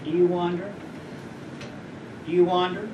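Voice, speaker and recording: A middle-aged man with a high voice giving an informal, rambling talk to students and asking them questions. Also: flat delivery, a little slow, lo-fi sound. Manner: rambling, anecdotal, asks the audience questions. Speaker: guest speaker. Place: college lecture room. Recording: echoey room, distant mic, background noise.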